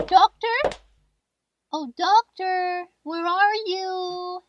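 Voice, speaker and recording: A woman's voice: very high-pitched, steady tone, very slow, no echo, distant mic, dull audio, soft background music, heavily acted. A woman playing a naive, cheerful, ditzy girlish sidekick, bubbly and guileless, in a comedy radio show. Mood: searching.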